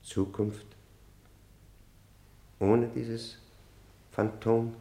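An actor in a German radio play playing a proud, embittered long-term convict who speaks haltingly and formally. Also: male voice, mid-range voice, little pitch movement, dark tone, roomy sound. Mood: weary, guarded. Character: embittered long-imprisoned male convict, proud, weary, stubborn.

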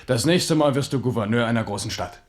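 An actor in a German radio play playing a genial, earthy king who talks in a familiar, theatrically expressive way. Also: mid-range voice, brisk, lightly acted. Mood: wistful, subdued. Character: genial, earthy king, warm with commoners and old comrades.

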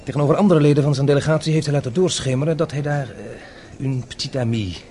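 An actor playing a courteous, self-assured French intelligence officer in a Dutch radio play, speaking formally with small hesitations. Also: male voice, medium pitch, warm tone, lightly acted. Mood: composed, confident. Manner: measured, formal, hesitates before answers. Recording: radio play with noisy background ambience.

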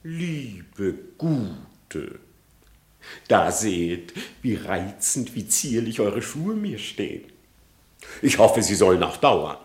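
Crafty male fox, very low voice, lively melodic swings, falsely courteous, radio drama. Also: takes it slowly. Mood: gleefully mocking. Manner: smooth-tongued, mock-polite. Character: sly, hypocritical, mocking male fox in pilgrim guise. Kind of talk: classic radio play verse acting.

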